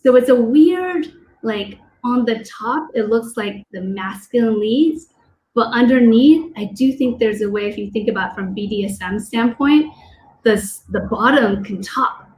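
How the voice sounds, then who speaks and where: A woman's voice: measured pace, a little hiss or hum, rough recording. A woman born in Taiwan and raised in the US, talking animatedly on a video call panel, her voice leaping up to make a point. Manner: animated, pitch jumps up when making a point. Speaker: panel co-host. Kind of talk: casual panel discussion.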